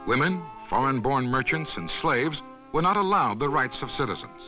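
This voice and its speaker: A man reads scripted documentary narration in a measured, instructional manner, as on a 1970s educational film soundtrack. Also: mid-range voice, lively intonation, over music, low-quality recording.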